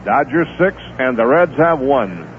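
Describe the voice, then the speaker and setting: A man calling a baseball game play-by-play on radio, steady and unhurried, filling the gaps between pitches with stats and notes on the players. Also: a little hiss or hum. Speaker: play-by-play announcer. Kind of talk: live baseball radio commentary. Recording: tinny 1970s AM radio broadcast.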